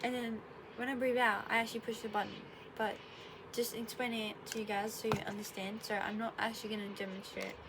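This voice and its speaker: A woman's voice: a little high, flat delivery, fast talker. A young woman, a bit congested, chatting casually in her bedroom, rambling and correcting herself with sarcastic asides. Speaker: vlogger. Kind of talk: casual explainer vlog. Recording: noticeable room noise.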